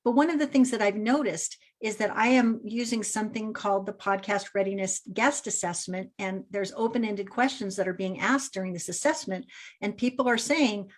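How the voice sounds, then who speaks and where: A woman's voice: mid-range voice, moderate pace. A middle-aged woman hosting a podcast, telling stories with enthusiasm and acting out quotes. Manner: enthusiastic storyteller, quotes others in lively voices. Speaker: podcast host. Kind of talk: casual interview chat.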